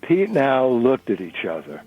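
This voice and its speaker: A man's voice: medium pitch, wildly swooping pitch, normal speed, slightly rough, soft background music. A man narrating a novel in a dramatic reading. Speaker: narrator and reader. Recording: narrow-band radio recording.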